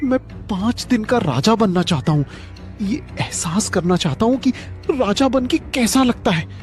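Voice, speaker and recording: A man's voice: sits high, animated, normal speed. Male actor as cartoon wolf, sly, sickly, pleading, self-important, music behind. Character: scheming sickly wolf who craves being king. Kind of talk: Hindi animated moral story acting.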